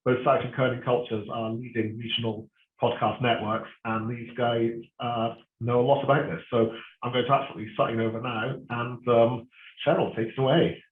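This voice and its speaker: A man hosting an event, introducing a panel in an informal, somewhat hesitant and halting way. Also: medium pitch, flat delivery. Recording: tinny phone-like line with roomy echo.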